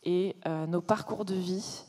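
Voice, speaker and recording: Woman, co-founder on a panel; hesitant, lots of fillers, lowish mellow voice. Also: steady tone, a little slow. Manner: hesitant, many fillers, warming up as she goes. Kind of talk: conference panel talk. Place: conference hall.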